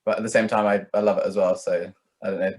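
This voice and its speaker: Young man, low voice, narrow intonation, casual chat, rambling, hedging, musician guest. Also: level tone, quick pace, a little mellow. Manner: rambling, hedging, peppers talk with "like" and "kind of".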